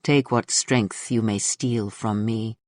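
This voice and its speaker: A man's voice: fairly low pitch, fairly even intonation, takes it slowly, darkish tone, no room sound, clean studio sound. A man voicing an ancient, mysterious mentor spirit in a radio drama, speaking in a solemn, oracular way.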